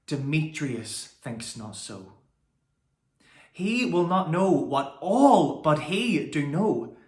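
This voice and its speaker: Man as rejected young lover, Shakespearean verse, aching and bitter, drawn-out phrasing, raised pitch. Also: big pitch swings, darkish tone, echoey room. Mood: wistful, hurt. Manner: deliberate, drawn-out delivery with weighted pauses.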